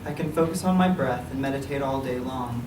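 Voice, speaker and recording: A man giving a measured, solemn reading of a literary piece before a live event. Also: medium pitch. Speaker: writer reading own work. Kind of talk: literary reading, dramatic monologue in verse. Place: public reading in a library room. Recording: echoey room, distant mic, clear noise.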